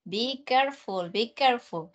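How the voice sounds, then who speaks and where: A woman with a Peruvian Spanish accent teaching an online English class, repeating her instructions slowly and switching to Spanish. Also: a little high. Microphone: video call. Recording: muffled video call audio.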